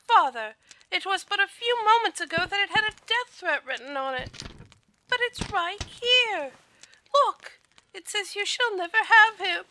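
A woman's voice: very high voice, very animated, unhurried, pushed and bright, full character voice. A woman playing a frightened, insistent young noblewoman, daughter of a duke, in an audio drama.

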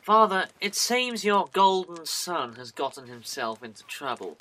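A man's voice: fairly high pitch, sing-song, unhurried, sharp tone, full character voice, poor audio. A man playing a jealous, sardonic young nobleman in an audio drama, formal and dryly sarcastic.

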